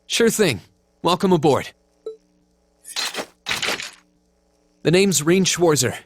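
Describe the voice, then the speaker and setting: Man as an easygoing, expressive young hero. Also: fairly high pitch, normal speed, music in the background, close to an everyday voice. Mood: friendly. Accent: American. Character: easygoing, modest teenage male swordsman hero. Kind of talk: video game voice acting.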